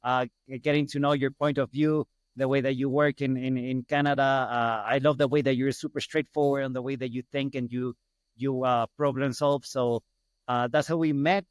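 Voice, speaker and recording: A man's voice: mid-range voice, steady tone, moderate pace, clean tone, no room sound. A middle-aged man with an Ecuadorian Spanish accent, chatting as an interview guest and repeating phrases for emphasis. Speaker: podcast guest, hospital business owner. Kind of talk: casual interview storytelling.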